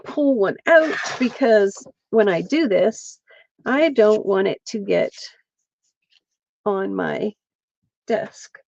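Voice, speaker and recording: A woman's voice: mid-range voice, animated, slow pace, vocal fry, pauses often, darkish tone, highs cut off. A middle-aged woman from Pennsylvania, chatting casually with viewers while she crafts on a livestream. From central Pennsylvania.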